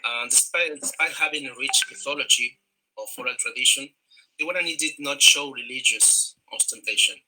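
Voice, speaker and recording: A man from Argentina, a native Spanish speaker with an Argentine accent, giving a tourism presentation in halting English full of fillers and repeated words over a video call. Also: medium pitch, sharp tone, husky, rough recording.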